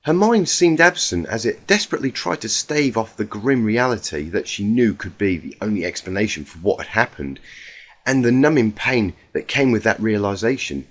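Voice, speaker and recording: A man's voice: fairly low pitch, lively intonation, moderate pace. A man reading a scripted story aloud as a narrator, in a dramatic storytelling style. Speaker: fan fiction reader.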